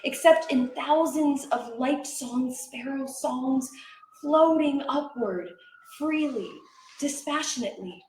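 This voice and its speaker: A woman reciting poetry as a dramatic reader, with measured, expressive and deliberate phrasing. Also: high voice, slight background noise. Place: indoor library event room. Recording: echoey room, live reading.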